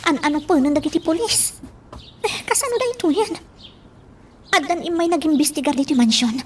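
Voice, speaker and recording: A woman's voice: high voice, animated, normal speed, a little hiss or hum. A woman in a radio drama playing a chatty, fretful mansion servant, anxious and gossipy in her delivery. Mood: worried.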